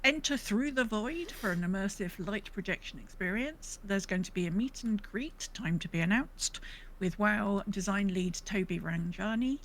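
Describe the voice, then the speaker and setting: A woman's voice: mid-range voice, lively intonation, normal speed. Female podcast co-host, mid-range voice, informal and meandering, slight noisy ambience behind. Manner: rambling, thinking aloud. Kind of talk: casual gaming podcast chat.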